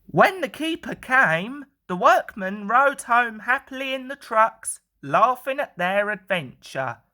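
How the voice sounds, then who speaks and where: A woman's voice: a little high, big pitch swings, slow pace, bright, forceful tone. A woman narrating a children's storybook as a storyteller.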